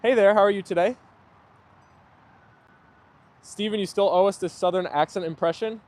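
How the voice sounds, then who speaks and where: Man, friendly stream host, slightly high voice, loose chatty delivery outside. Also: fairly even intonation, normal speed, darkish tone. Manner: friendly, chatty, thanks viewers often. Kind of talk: casual live chat reading viewer comments.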